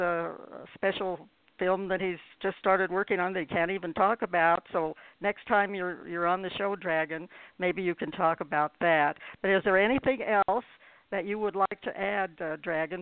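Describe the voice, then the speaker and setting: A woman's voice: lowish voice, fairly even intonation, normal speed, no echo. An older woman hosting an interview show, effusive and appreciative, often repeating her phrases. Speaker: radio show host. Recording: dull lo-fi internet radio line.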